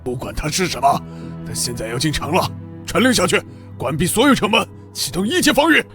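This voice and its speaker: A scheming male military commander from an animated comic drama. His fairly high voice swings widely as he talks in an officious, emphatic way, with music clearly behind him. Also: brisk, exaggerated voice. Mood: alarmed. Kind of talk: motion-comic drama dubbing.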